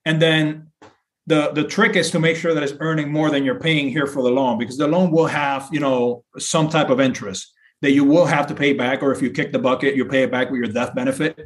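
Middle aged guy from puerto rico on a podcast, earnest explainer, mid pitch, repeats himself for emphasis. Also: fairly even intonation, brisk. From Puerto Rico, later south of Boston. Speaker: podcast guest, insurance broker. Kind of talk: conversational interview explanation.

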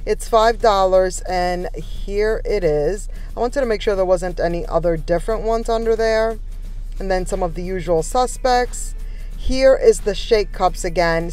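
A woman's voice: medium pitch, a little mellow. Chatty enthusiastic woman vlogger, music behind. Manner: enthusiastic, conversational, addresses viewers directly. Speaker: shopping vlogger. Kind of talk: casual chatty shopping haul narration. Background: background music.

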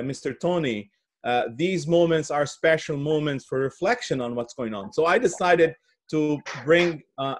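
A middle-aged man from Brazil giving a presentation on a video call, speaking haltingly with repeated words and pauses. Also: mid-range voice. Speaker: guest speaker giving opening remarks. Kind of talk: presentation at an online summit. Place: indoor office, on a video call.

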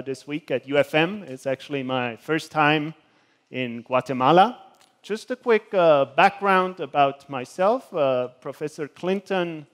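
A young man from Switzerland gives a guest lecture in a university hall. His voice sits slightly high, and he fills his pauses with hesitant "uh"s as he walks about the stage. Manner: hesitant, many filler sounds; gestures while speaking, walks around the stage. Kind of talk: academic talk with slides.

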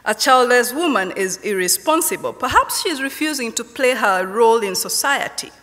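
A woman in her forties with a Kenyan accent giving a personal testimony in a university chapel, reading from notes in a measured, rhythmic way with repeated refrains. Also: fairly high pitch, lively intonation, a bit bright. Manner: slow, measured, rhythmic repetition. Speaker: chapel speaker, professor. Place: university chapel stage at a lectern. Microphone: lectern microphone. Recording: long chapel hall reverb.

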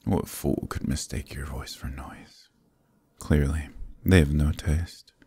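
Guy doing a demon prince, dark gravelly voice, breathy whispery flirting, bossy and teasing. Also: a bass voice, fairly even intonation, slow, full character voice. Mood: seductive. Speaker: voice actor in audio roleplay. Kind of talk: ASMR roleplay, close intimate murmur.

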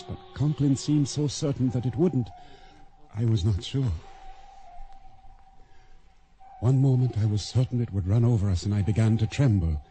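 Male actor, wary boat owner, radio play narrator, very dark soft-edged timbre, mid pitch, formal measured storytelling. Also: natural voice. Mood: uneasy. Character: cautious, uneasy male boat owner recounting a sea ordeal. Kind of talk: radio drama first-person narration.

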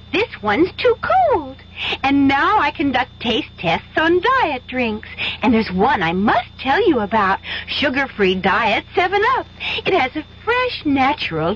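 Cutesy fairy tale girl all grown up, radio ad, super high, slow and swoopy. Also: fairly bright tone, heavily acted. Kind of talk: 1970s radio advert acting. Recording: dull, faintly noisy radio recording.

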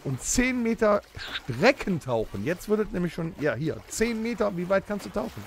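A man's voice: sits high, big pitch swings, moderate pace, a little hiss or hum. A man hosting a comedic audio-drama podcast, eagerly reading out rules with playful emphasis. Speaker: podcast host.

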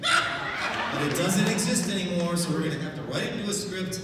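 A man's voice: high-pitched, expressive, brisk, a crowd in the background. A man in casual, joking banter as a voice actor on a panel, recorded from far back in a noisy hall. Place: large convention hall, filmed from audience. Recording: distant echoey hall, loud noise.

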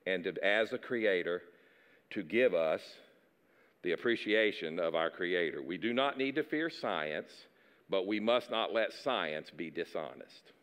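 A man's voice: fairly low pitch, fairly bright tone, smooth, in a large hall. A middle-aged man preaching a sermon, moving around a church stage, talking in a conversational way with repeated words and side remarks. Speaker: pastor. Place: large dark church stage.

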